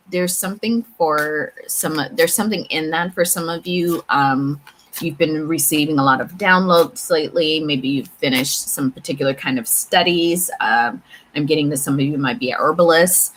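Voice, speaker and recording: A woman tarot reader speaking reflectively and haltingly to her viewers, repeating phrases for emphasis as she gives intuitive spiritual guidance. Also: deep voice, a bit bright, a little hiss or hum, rough recording. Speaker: tarot reader and channel host.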